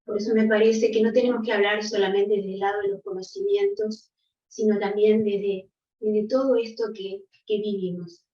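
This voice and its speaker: An older woman speaking reflectively and gently as a panelist on a video call, often ending with a soft "¿no?". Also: mid-range voice, normal speed. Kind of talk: reflective conversational talk. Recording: distant, roomy, dull.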